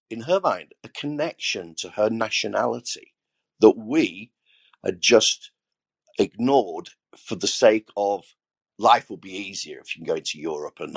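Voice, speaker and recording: A man's voice: lowish voice, husky, no room sound. A middle-aged man from Yorkshire, a guest speaker, talking reflectively and stumbling as he restarts his sentences. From Yorkshire, England.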